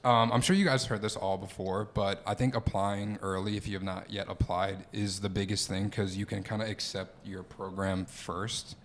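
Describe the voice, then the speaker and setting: A man's voice: fairly low pitch, normal speed, hardly any pauses, slight background noise. A young man from Philadelphia hosting a student podcast, talking casually with many "um"s and "like"s. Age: young adult. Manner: relaxed, with frequent "um" and "like". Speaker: podcast host, optometry student.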